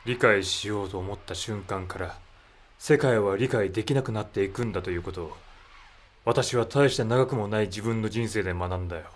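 A man playing a composed, philosophical military man in a voice drama, speaking in a measured, reflective and formal way. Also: on the low side, natural voice. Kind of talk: amateur voice drama acting.

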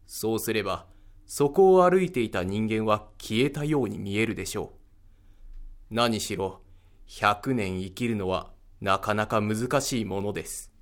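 A man playing a calm, courteous, enigmatic figure in an audio drama, speaking in measured, fatalistic phrases. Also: on the low side. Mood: grave, explanatory. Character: calm, enigmatic, courteous man who knows ancient secrets.

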